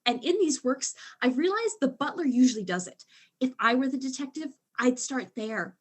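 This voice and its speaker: Actress as eager would-be sleuth, husky, higher-pitched, pompous, mystery drama. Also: female voice, expressive, normal speed. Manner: formal, pompous. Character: pompous, eager amateur sleuth fascinated by human culture. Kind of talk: acted mystery audio drama.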